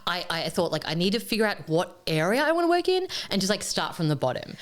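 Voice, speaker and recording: A woman's voice: fairly low pitch, sing-song, quick pace. A woman, a podcast guest chatting casually, hesitant with frequent restarts and laughter. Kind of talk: casual chat.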